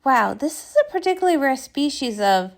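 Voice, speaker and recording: A woman's voice: high-pitched, sing-song, a little fast. A woman voicing a bookish, anxious male cartoon pony with a wordy, hesitant manner. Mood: scholarly.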